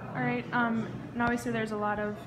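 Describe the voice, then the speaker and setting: A woman interviewer asking a coach quick, plainly worded post-game questions. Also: fairly high pitch, level tone, a loud crowd.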